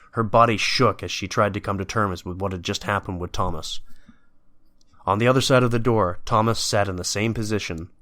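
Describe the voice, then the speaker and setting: A man's voice: fairly low pitch, a bit bright, no room sound. A man narrating a scripted dramatic story as the narrator of an audio drama.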